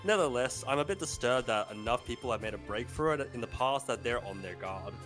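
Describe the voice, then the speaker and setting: A man streams a game, reading the story aloud in a soft-spoken way. His pitch moves around freely and he slips into casual, sweary banter. Faint music plays behind him. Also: expressive, a little fast. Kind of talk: narration mixed with casual banter.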